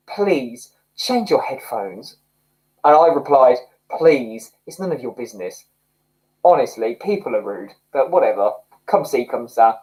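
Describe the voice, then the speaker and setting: A man's voice: a little high, darkish tone, poor audio. A man hosting a casual livestream from home, reading viewer comments, chatty and self-mocking and often laughing. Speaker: livestream host. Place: home, indoors.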